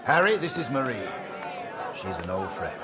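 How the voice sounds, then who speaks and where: An actor playing a jovial army major in a video game. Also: male voice, medium pitch, sing-song, moderate pace, a loud crowd, natural voice. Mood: jovial, commanding. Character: jovial British army major, hiding grief. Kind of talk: dramatic video game dialogue.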